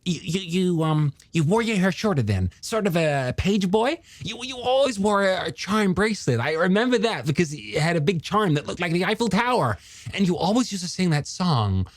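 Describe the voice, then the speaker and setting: Man putting on an excitable, high-pitched young male scientist. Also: moderate pace, no room sound. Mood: excited, friendly. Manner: excitable, stammering, chatty. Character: enthusiastic, friendly young male shark scientist. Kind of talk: audiobook character dialogue.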